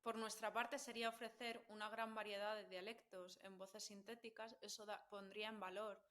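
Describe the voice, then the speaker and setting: A woman's voice: medium pitch, level tone, normal speed, a bit bright. A woman with a Madrid Spanish accent, a linguist guest explaining patiently in a casual podcast chat, with frequent fillers and laughter. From central Spain.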